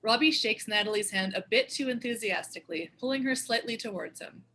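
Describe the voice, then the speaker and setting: A woman's voice: a little high, a little fast, sharp tone. A woman narrating the stage directions of a screenplay in a scripted read.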